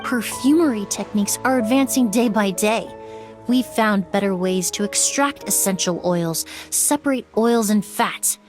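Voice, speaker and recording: A woman playing a composed young perfumer in a video game, measured, articulate and earnest as she explains. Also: medium pitch, close to an everyday voice. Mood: firm, accusing. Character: composed young female perfumer, earnest, firm former apprentice. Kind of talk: dramatic video game voice acting. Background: background music.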